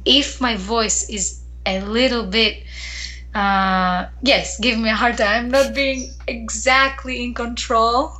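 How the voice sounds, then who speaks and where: A woman from Greece, a professional singer, chatting in an interview and often pausing and restarting mid-sentence. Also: a little high, fairly even intonation, sharp tone, rough recording. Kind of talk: casual interview chat.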